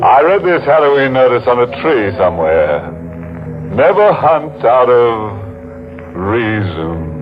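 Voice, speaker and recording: A man's voice: mid-range voice, sing-song. An actor playing a gleefully morbid, sardonic horror host, drawing out his puns on a 1940s radio show. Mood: wry, ghoulish. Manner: sardonic, drawn-out, punning. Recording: tinny old radio recording with organ music.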